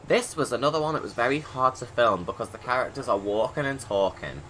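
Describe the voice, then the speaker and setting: A man's voice: mid-range voice, lively intonation, brisk, a bit bright, a little hiss or hum, low-quality recording. A man giving casual, rambling creator commentary on his game movie, often interrupting himself. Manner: informal, rambling, self-interrupting.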